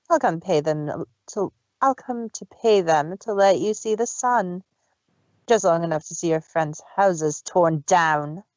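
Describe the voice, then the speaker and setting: Storybook villainess pony, played by a man: high-set, slow, domineering, gloating over her schemes. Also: a little mellow, no echo, dull audio. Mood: gloating, threatening. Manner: domineering, taunting.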